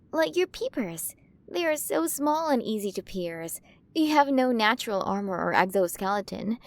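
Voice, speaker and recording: Woman doing a giant alien queen for asmr rp, highish voice, cooing and fascinated, kinda possessive of her little human. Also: gravelly. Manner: cooing, fascinated, quaintly mispronouncing human words. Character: towering alien queen, doting, curious, possessive of tiny humans. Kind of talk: ASMR roleplay, addressing the listener.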